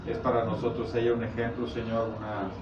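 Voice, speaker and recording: A man's voice: medium pitch, monotone. Mexican preacher, a man in an echoey room. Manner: conversational, halting, with rhetorical check questions. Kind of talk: informal sermon, explaining scripture. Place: echoey indoor congregation room. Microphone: distant microphone. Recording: echoey, noisy, muffled room recording from far off.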